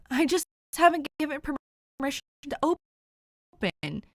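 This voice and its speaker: A woman's voice: high voice, sing-song, slow, dry recording, studio quality, pitched above the performer's own voice, heavily acted. A woman voicing a flustered young man who stammers and rambles as he pleads over the phone. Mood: pleading.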